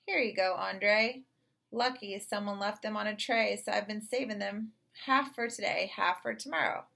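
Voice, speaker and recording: A woman's voice: mid-range voice, moderate pace, creaky, pushed and bright. Woman acting firm, loving storybook mom. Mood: kindly. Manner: folksy, no-nonsense talk.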